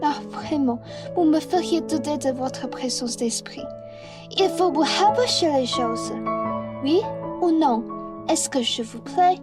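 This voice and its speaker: A very high, youthful female voice, with music underneath. A young woman plays a headstrong girl in love, pleading and ordering by turns in a French comic radio play. Her French. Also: lively intonation, takes it slowly. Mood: eager, bossy. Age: young adult. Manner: insistent, pleading, bossy. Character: lovestruck headstrong young woman, eager to join a thief.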